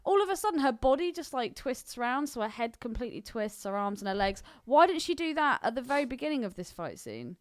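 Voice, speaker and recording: Woman, lively swooping intonation, dry close sound, casual opinionated podcast banter. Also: a little high, brisk, hardly any pauses, no echo. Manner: sweary, opinionated, laughs readily. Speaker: podcast co-host.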